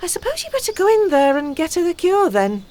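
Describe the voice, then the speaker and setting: A woman playing a helpful, chatty former pilot in an amateur audio play, speaking in a deliberate, friendly way. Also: very high-pitched, wildly swooping pitch, a little mellow, heavily acted. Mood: matter-of-fact. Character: helpful, chatty female ex-pilot offering a rescue flight. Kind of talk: amateur audio drama acting.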